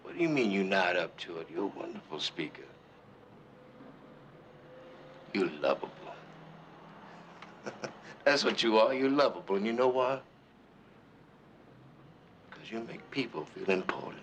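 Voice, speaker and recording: An actor playing a gentle, encouraging preacher in a screen drama, talking in a coaxing, affectionate way. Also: male voice, lowish voice, expressive, moderate pace, long pauses, a little hiss or hum. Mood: reassuring, affectionate. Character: gentle, encouraging preacher man reassuring his brother. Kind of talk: naturalistic screen drama dialogue.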